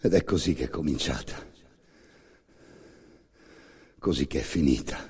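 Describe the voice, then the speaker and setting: A man with a gravelly voice performing an intense, incantatory scripted monologue in the second person, repeating phrases between long pauses. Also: a bass voice, darkish tone. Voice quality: gravelly, creaky. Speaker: actor and storyteller of a solo radio theatre monologue. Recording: music bed under the voice. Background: music.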